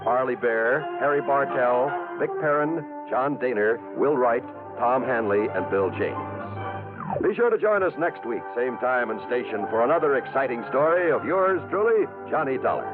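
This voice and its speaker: A man's voice: medium pitch, animated, moderate pace. An American man announcing credits in the scripted style of 1950s network radio. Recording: tinny vintage radio, loud music.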